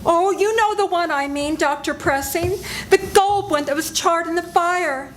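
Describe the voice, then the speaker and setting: A woman's voice: very high-pitched, measured pace, slight background noise. An older woman voicing a frightened wife in an amateur live radio play, speaking in an anxious, pleading way. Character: frightened wife, Geraldine Reeves.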